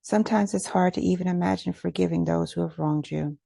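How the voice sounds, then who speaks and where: A woman leading a guided meditation in a gentle, measured, instructional way. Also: lowish voice, steady tone, vocal fry, no echo.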